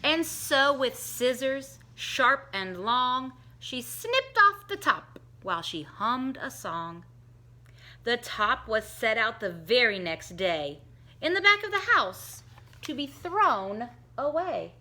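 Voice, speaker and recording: A woman reading a rhyming picture book aloud to children in a slow, sing-song, expressive way, giving the characters their own voices. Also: fairly high pitch. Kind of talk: storybook read-aloud for children.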